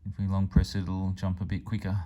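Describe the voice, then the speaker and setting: A man's voice: sits low, monotone, brisk, dark tone, slight background noise. A man giving a casual, plain-spoken hands-on product demonstration as a hobbyist reviewer. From Australia.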